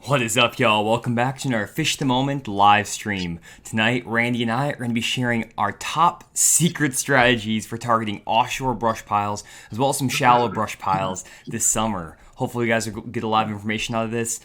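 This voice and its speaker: A young man hosting a fishing livestream, chatty and upbeat, talking straight to viewers, his voice a little raspy and tired. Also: medium pitch, fairly even intonation, brisk, few pauses, a bit bright. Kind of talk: casual livestream talk.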